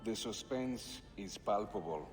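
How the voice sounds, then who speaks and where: A man's voice: fairly low pitch, lively intonation, a little slow. An actor playing a jovial, sly and loyal Ottoman assassin in a video game cutscene, with a teasing, theatrical manner. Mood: calm, wry. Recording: music behind the voice. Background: music.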